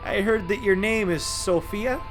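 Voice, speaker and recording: A man's voice: high-pitched, a little slow, soft background music. A man voicing a boastful, charming male tank engine in an audio drama, with a jaunty, folksy manner. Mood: friendly, charmed.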